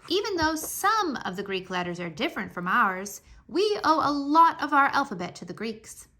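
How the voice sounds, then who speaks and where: High-pitched woman reading a children's storybook. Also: sing-song, normal speed, bright, forceful tone. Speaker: narrator reading a children's history book aloud. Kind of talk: expressive scripted storybook narration.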